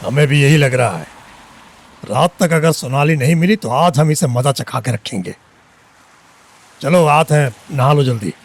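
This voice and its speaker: Man playing gruff, hot-tempered small-town father. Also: medium pitch, unhurried, long pauses. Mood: irritated, worried. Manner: gruff, threatening, rustic speech. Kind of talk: Hindi audio story drama. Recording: background music under the voice.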